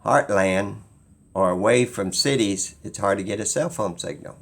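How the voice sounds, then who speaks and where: A man's voice: fairly low pitch, moderate pace, gravelly. A man giving an unscripted opinion monologue as a video creator, rambling and insistent, repeating phrases for emphasis.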